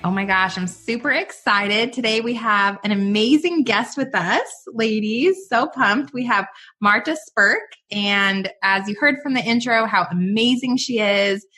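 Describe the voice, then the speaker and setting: A woman hosting a podcast interview in an upbeat, casual, gushing and excitable way. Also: a little high, a little slow, soft background music. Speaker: podcast host.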